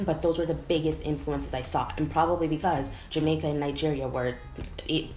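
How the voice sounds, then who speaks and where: A young American woman talking casually to camera in a vlog, chatty and animated, with lots of "like" fillers. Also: sits low. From United States. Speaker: vlogger. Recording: echoey room, faint background noise.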